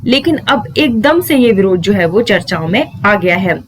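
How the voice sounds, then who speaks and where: A woman's voice: mid-range voice, animated, normal speed, a little hiss or hum. A woman explaining legal news to viewers in a didactic way, mixing English terms into her speech. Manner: didactic, poses questions and then answers them. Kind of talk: explanatory lesson addressed to viewers.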